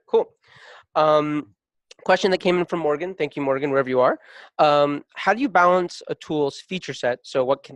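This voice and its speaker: A man's voice: fairly high pitch, no room sound. A man hosting a casual webinar panel, reading out questions and passing them to speakers. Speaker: panel host.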